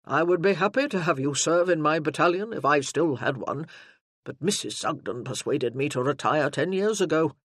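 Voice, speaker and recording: A narrator voicing a hearty retired army general who approves of a soldier. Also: male voice, fairly high pitch, animated, normal speed, fairly bright tone, no room sound, clean studio sound. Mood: approving.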